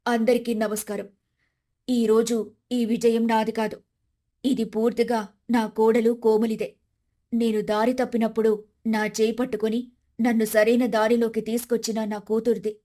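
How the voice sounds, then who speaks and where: A woman's voice: fairly high pitch, little pitch movement, normal speed, pauses often, mellow, natural voice. A woman playing a humbled, loving older mother-in-law in a moral story, speaking earnestly and with emotion. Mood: grateful, remorseful. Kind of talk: dramatised moral story dialogue.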